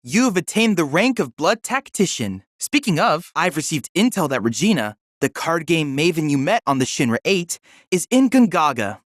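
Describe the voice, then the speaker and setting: A man's voice: high voice, a bit bright, no echo, studio quality. A man voicing a polite, eager boy android researcher in a video game, speaking in formal, articulate, bookish sentences. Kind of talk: scripted video game character dialogue.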